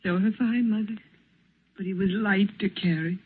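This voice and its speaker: An actress playing a caring young daughter, tender and pleading, in 1930s radio drama style. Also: female voice, medium pitch, steady tone, moderate pace, slightly rough, natural voice. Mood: tender sorrow. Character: caring young daughter comforting her mother. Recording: narrow, faintly noisy 1939 radio broadcast.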